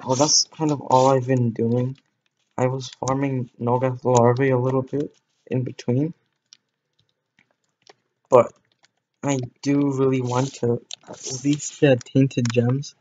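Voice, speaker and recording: A man's voice: medium pitch, a little mellow, highs cut off, a little hiss or hum. A teenage boy streaming a game, chatting casually with viewers in a rambling way, voice cracking now and then. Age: teens. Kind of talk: casual gameplay stream chat.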